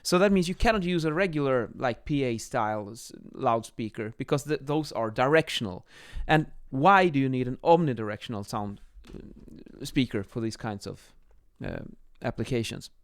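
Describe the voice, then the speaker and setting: A man in his thirties explaining as an instructor in an informal lesson, a little halting as they think aloud, recorded on a close microphone in a small room. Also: medium pitch, animated. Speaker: acoustics instructor, presenter. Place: small home office, indoors. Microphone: microphone on boom arm, close.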